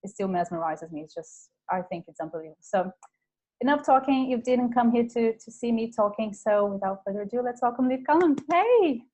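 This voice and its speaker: A woman's voice: fairly high pitch, expressive, a little fast, warm tone, low-quality recording. A woman hosting a webinar over a video call, giving housekeeping notes and an enthusiastic introduction of her guest. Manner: enthusiastic, gushing. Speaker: webinar host.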